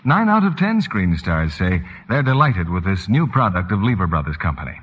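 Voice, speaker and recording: A man announcing a 1940s radio sales pitch, with a husky voice and scripted polish. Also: very animated, normal speed, slight background noise. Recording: muffled, reverberant vintage radio broadcast.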